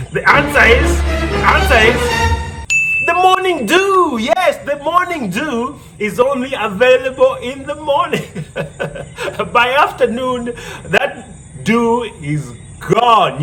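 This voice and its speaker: A man performing as a live storyteller and riddle host, theatrical, repeating phrases and pausing for effect. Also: extremely high pitch, animated, rough recording. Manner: theatrical, repeats phrases, pauses before answers. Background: music.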